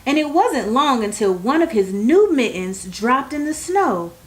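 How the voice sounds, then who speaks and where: A woman reading a children's bedtime story aloud, with expressive, deliberate phrasing aimed at young listeners. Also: sits high, poor audio.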